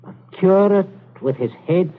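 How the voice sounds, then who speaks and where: A man's voice: high voice, animated. An actor playing a middle-aged father, outwardly genial but coldly calculating and menacing, sometimes stammering, in a 1940s radio drama style. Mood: tense, brooding. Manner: stammering when flustered, deliberate. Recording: noisy, tinny 1940s radio recording.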